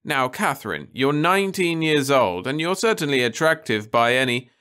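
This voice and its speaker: A man's voice: mid-range voice, expressive, moderate pace, bright, forceful tone, clear, resonant tone, pitched above the performer's own voice. A firm, insistent middle-aged mother, voiced by a man in a read-aloud story. She speaks in a raised voice that rises and falls with feeling, earnest and unbending.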